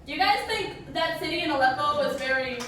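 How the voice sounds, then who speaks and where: A teenage girl with a high voice presents at school, heard from well back in a very echoey room. She moves between prepared lines and informal remarks, with clear noise around her. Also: animated, brisk, distant mic. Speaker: student presenter. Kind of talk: school presentation, prepared script with casual asides.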